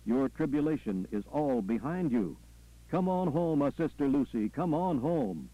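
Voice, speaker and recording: A fervent preacher in full sermon flight. He chants in folksy, repeated phrases with punctuating exclamations at a medium male pitch. The sound is dull and lo-fi, like an old radio. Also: sing-song, a little slow, dry recording. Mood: exultant, urgent. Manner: folksy, rhythmic repetition with punctuating "aha" and "ah, well". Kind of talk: call-and-response funeral sermon.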